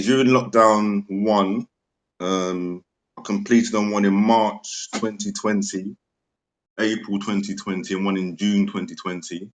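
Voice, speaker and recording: A man with a very low, deep voice talks through his property investing approach. He is methodical and unhurried, stopping often on "um" as he lays out the numbers. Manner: steady, methodical, many ums. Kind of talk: explaining a property strategy. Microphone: remote audio-chat connection.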